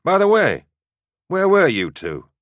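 A man's voice: high voice, huge pitch swings, unhurried, fairly bright tone, no echo, clean studio sound, pitched above the performer's own voice, heavily acted. A man voicing a battle-hardened, impatient warrior sergeant with a terse, commanding manner, in an audiobook story.

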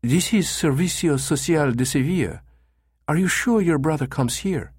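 A man voicing a pleasant, courteous woman receptionist at a Spanish agency, speaking slowly and helpfully. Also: fairly high pitch, lively intonation, slightly rough, no echo, studio quality, voice pushed up. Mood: polite, helpful.

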